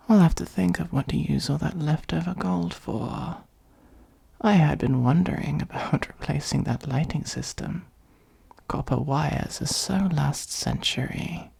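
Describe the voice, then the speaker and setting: A performer of unclear sex voicing an ancient, haughty dragon in a comedic fantasy ASMR roleplay, with a breathy, husky, gravelly voice and a sardonic, condescending, musing manner. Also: mid-range voice, mellow, heavily acted. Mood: absorbed, wry. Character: ancient gold-hoarding dragon, haughty, dryly exasperated.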